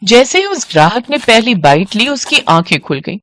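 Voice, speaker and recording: A woman's voice: a little high, sing-song, moderate pace, a bit bright, no room sound. A woman reading scripted narration for a moral story.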